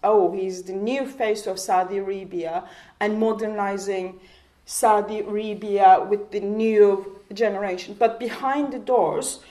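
A woman's voice: medium pitch, steady tone, a little slow, mellow. Impassioned woman, Kurdish native speaker. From Turkey. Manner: impassioned, rhetorical questions, frequent restarts. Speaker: panel speaker. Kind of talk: public panel talk. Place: community hall, panel table. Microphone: handheld mic.

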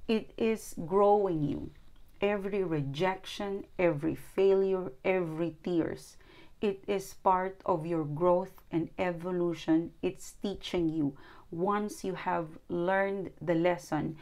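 A woman's voice: on the low side, darkish tone. A woman whose first language is not English, giving an earnest tarot reading with lots of repeating and restarting, recorded indoors at a table. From outside New Zealand. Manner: earnest, repetitive, coaching tone with restarts. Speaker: tarot reader.

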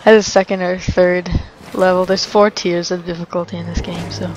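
A woman's voice: on the low side, flat delivery, moderate pace, over music, rough recording. A young woman casually commenting while she plays a video game, chatty and rambling with frequent laughs. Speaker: let's play host. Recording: commentary over game sounds.

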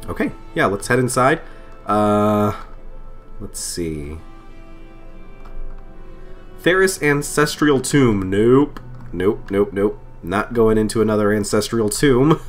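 A man with a slightly lowered voice, still getting over a cold, talks through his game into a desk mic. He rambles casually, thinking aloud and poking fun at himself. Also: lively intonation, slow, long pauses, faint music behind. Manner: chatty, self-deprecating, thinks aloud with fillers. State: recovering from a cold. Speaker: let's play host. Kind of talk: casual gameplay commentary. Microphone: desk microphone.

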